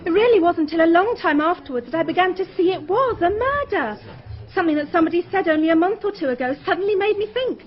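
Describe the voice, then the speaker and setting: A woman's voice: very high voice, animated, fast talker. A woman playing a boastful, stubborn schoolgirl with an English accent in a radio drama. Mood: earnest, insistent. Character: boastful, stubborn schoolgirl insisting she is truthful. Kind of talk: British radio drama acting. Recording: dull radio drama recording.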